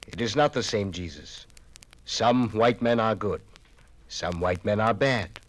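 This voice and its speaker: An actor playing a courteous pioneer missionary in a 1950s radio drama, speaking in a patient, formal, pious way. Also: male voice, lowish voice, a little slow. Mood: earnest.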